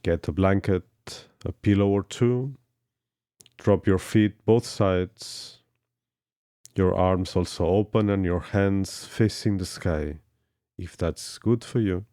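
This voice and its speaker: Very deep, slow male meditation guide. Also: mellow, dry recording, clean studio sound. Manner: slow, soothing instructions with long pauses. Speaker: yoga instructor. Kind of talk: guided yoga nidra meditation.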